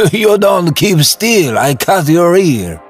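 An actor playing a small, fussy Italian barber in his fifties, husky, with an Italian accent and clipped broken English, in an audio drama. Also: high-pitched, big pitch swings, slow, faint music behind, exaggerated voice. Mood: scolding.

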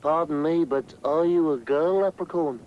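A man's voice: a little high, normal speed, natural voice. A man voicing a cheeky, wry young pop musician in a cartoon. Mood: polite wonder. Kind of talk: 1960s animated cartoon acting. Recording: dull, noisy old cartoon soundtrack.

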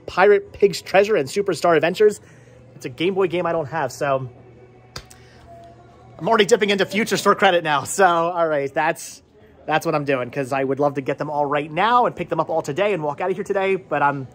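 A middle-aged man hosting a casual vlog in a shop, rambling and repeating words for emphasis, recorded on a camera held at arm's length. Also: fairly high pitch. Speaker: host. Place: inside a small cluttered movie and game shop. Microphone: phone or camera at arm's length.

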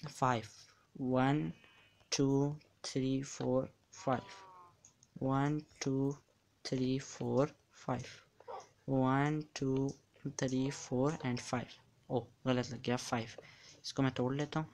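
Man chatting over his block game, flat voice. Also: mid-range voice, slight background noise. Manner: chatty, counts blocks aloud while building. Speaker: gamer. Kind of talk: casual game commentary.

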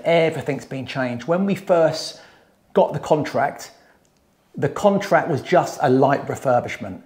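A man in his thirties giving a casual walkthrough of a house under renovation, briskly listing the work room by room. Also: a little high, darkish tone. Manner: brisk, matter-of-fact, lists work item by item. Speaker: renovation company site manager giving a tour. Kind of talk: casual walkthrough site tour. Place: empty house under renovation, indoors, bare plastered rooms. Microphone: wide-angle camera carried by someone else, voice at a few meters.

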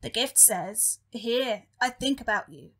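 A woman playing a meek, earnest demon underling in a comic audio drama, speaking in a hesitant, halting way. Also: on the high side, lively intonation, fairly bright tone, husky, exaggerated voice.

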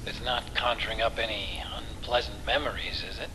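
A man's voice: fairly low pitch, steady tone, a little fast, background noise, close to an everyday voice. An actor playing an earnest, anxious male tech expert, a caring sidekick in a video game cutscene, speaking expressively with some hesitancy. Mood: reflective.

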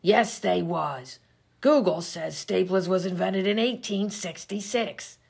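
A woman playing a gruff, bossy 1920s vaudeville comedienne, slangy and sarcastic, in an old-time radio comedy sketch. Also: on the low side, takes it slowly, a little mellow, exaggerated voice. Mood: exasperated. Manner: brash, slangy, sarcastic insults.

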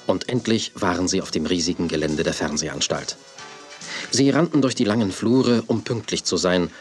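Deep-voiced male storyteller over music. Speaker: narrator. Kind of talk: scripted children's audio drama narration.

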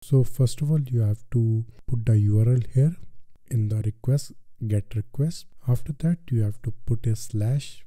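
A man with an Indian accent narrating a software tutorial step by step, with repetitive filler phrases. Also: medium pitch, normal speed, no echo. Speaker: tutorial presenter.

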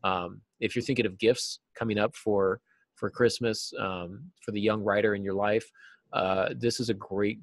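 A middle-aged man with a fairly low voice explains things conversationally as an interview guest. The sound is dry, with very little room to it, as he talks on a video call like a teacher. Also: dry recording. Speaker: guest, novelist and writing teacher. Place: small office, indoors.

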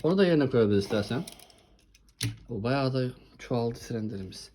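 A woman's voice: extremely low pitch, expressive, a little fast, warm tone. A woman hosting a casual toy showcase with a child, chatting in an encouraging way and often asking questions. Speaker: host presenting toy trains with a child.

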